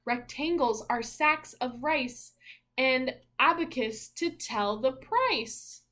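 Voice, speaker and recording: A woman teacher reads a children's picture book aloud in a fairly high voice. Her pace is very slow and sing-song. She adds little exclamations and asides and invites young listeners in with questions. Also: expressive, bright, forceful tone.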